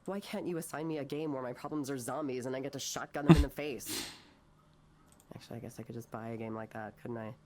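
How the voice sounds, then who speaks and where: An actress voicing an anguished, bitter, self-doubting woman artist in a naturalistic visual novel, speaking haltingly and emotionally. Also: extremely low pitch, crowd noise. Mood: subdued, wry. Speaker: counselling patient.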